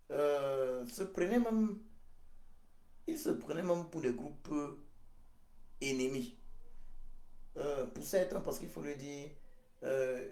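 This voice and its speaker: Man, activist addressing supporters, slow deliberate delivery, hesitant fillers, echoey room. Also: on the high side, warm tone. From Cameroon. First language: Ghomala' (Baham). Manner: emphatic, deliberate, repeats phrases with frequent hesitations. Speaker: activist leader. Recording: roomy echo.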